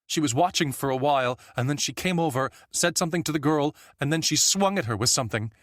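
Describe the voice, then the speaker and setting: A shaken woman describing a brutal attack she has just seen, as a man puts on her voice. She speaks high and tense, a little louder than the narration. The sound is dry and close. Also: quick pace, no echo, close to an everyday voice. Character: shaken woman witness recounting a killing.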